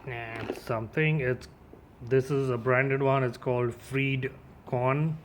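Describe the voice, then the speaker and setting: A man's voice: mid-range voice, steady tone, slow, background noise. A man giving a casual, informal unboxing and demo as a gear reviewer, addressing his viewers directly.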